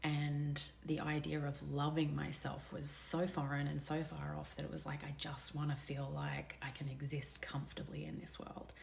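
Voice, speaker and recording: A woman in her thirties with a low, level voice and a gravelly edge speaks openly to her viewers. Her delivery is candid and confessional, and she often sinks into a soft near whisper. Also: completely flat delivery, moderate pace, few pauses, a little hiss or hum. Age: 30s. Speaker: vlogger. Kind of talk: personal reflective vlog.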